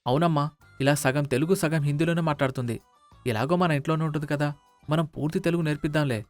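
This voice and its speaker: A man's voice: fairly high pitch, steady tone, quick pace, faint music behind, natural voice. A man playing a timid, evasive young adult son who coaxes and over-explains in a dramatised moral story. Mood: placating. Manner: hesitant, coaxing, over-explaining.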